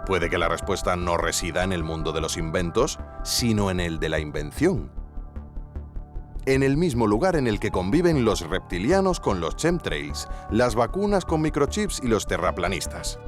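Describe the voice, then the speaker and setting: A man playing an earnest investigative journalist, narrating a scripted documentary mystery in a deliberate, theatrical way. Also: deep voice, very animated, soft background music. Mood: intriguing, deliberate. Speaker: podcast narrator and investigative interviewer.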